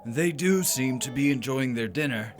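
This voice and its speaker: An actor playing a grumpy, frightened old miser in a radio play, curt and querulous. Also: male voice, mid-range voice, normal speed, music in the background, close to an everyday voice. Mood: grumbling.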